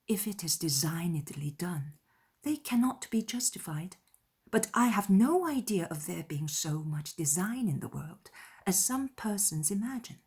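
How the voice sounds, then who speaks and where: A hushed, breathy young gentlewoman, acted by a woman from a period audiobook drama. She is tender and entreating, with a husky softness that drops close to a whisper as she earnestly asks others to think kindly. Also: on the low side, big pitch swings, unhurried, exaggerated voice. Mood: soft entreating. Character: gentle, soft-spoken young woman pleading for charity toward others. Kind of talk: dramatized period novel dialogue.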